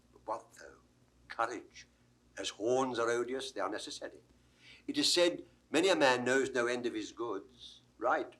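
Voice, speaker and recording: An actor playing a witty, bawdy court jester with mock-pompous relish in a 1960s Shakespeare audio drama. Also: male voice, medium pitch, a bit bright, natural voice. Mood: musing. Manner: theatrical, wordplaying, mock-pompous.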